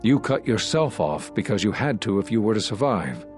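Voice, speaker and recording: Solemn jedi master from a game, low voice, earnest and regretful, soft music under it. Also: male voice, sing-song. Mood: sombre. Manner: measured, sombre, earnest. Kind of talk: dramatic video game dialogue.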